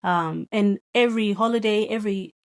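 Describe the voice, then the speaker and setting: A woman in her thirties from Zimbabwe, a guest reflecting on her upbringing with a relaxed, thoughtful manner. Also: mid-range voice, moderate pace. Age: 30s. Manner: reflective, many fillers and soft laughs. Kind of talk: casual chat.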